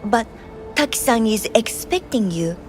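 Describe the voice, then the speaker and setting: An actress voicing a polite, deferential luxury hotel receptionist in a video game, courteous and polished. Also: female voice, fairly high pitch, expressive, a little slow, music in the background. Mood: polite, formal.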